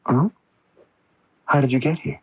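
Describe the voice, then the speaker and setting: Husky, gentle male therapist. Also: medium pitch, fairly even intonation, measured pace. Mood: gentle, probing. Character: gentle, probing male therapist, patient and confiding. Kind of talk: radio drama acting. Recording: dull 1980s AM radio recording.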